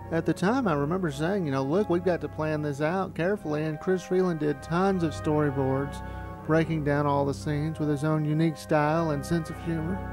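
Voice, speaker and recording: Higher-pitched man reminiscing over music. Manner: conversational storytelling. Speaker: amateur filmmaker narrating a retrospective. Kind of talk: reminiscing documentary narration.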